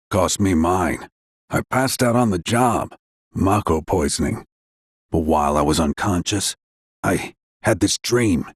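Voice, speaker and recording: A sombre working man from a game, with a lowish voice. He talks plainly and confessionally, close and dry. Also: husky, no echo, exaggerated voice. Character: regretful former reactor maintenance worker turned planet scholar. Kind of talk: video game character dialogue.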